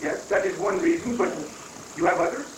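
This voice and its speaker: Man playing a high-pitched, rambling foreign guru. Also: fairly quick, close to an everyday voice. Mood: playfully obtuse. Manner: rambling, wordy, mock-pious with foreign phrasing. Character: flamboyant wandering foreign guru, rock-obsessed, evasive and cheerful. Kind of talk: comic dramatic stage reading. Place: echoey room, mic at a distance. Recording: noisy 1980s live recording, echoey, distant mic.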